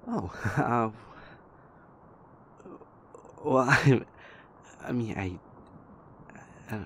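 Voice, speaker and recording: A man playing a shy, anxious, gentle young boyfriend in an audio roleplay, stammering, hesitant and apologetic in a soft-spoken way. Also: medium pitch, lively intonation, slow, a little hiss or hum. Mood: flustered.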